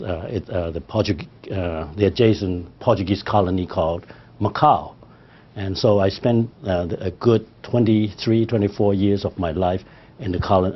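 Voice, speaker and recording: A man's voice: sits low, muffled recording. An older man from Hong Kong whose first language is Cantonese, speaking formally in an oral history interview with frequent hesitations. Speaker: interviewee, librarian.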